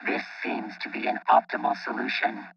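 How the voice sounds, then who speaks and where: A man's voice: fairly quick, no room sound. A man playing a stoic robot guardian in a radio play, speaking formally through robotic voice processing. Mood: stoic, alert. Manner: formal, flat machine-like phrasing. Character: stoic robot protector devoted to two children. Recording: muffled, band-limited robot sound over music.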